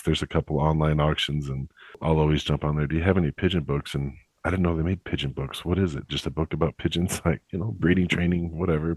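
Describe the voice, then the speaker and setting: Young guy hosting a podcast, talks quick, dry close sound, laid back. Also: very deep voice, no echo, low-quality recording. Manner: relaxed, upbeat, conversational. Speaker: podcast host. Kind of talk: casual podcast chat.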